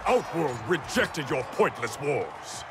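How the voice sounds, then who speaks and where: A man's voice: fairly high pitch, big pitch swings, slow, very noisy. A man playing a proud warrior emperor in a video game, speaking in a formal, stately, commanding way. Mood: grave, resolute. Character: proud, regal male warrior emperor of an otherworld realm. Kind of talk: dramatic video game cutscene acting. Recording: music behind the voice.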